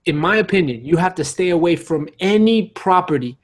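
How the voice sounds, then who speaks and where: A man's voice: high-pitched, expressive, a little fast. A man in a casual panel debate, arguing insistently and repeating his phrases as he makes his points. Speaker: podcast panelist.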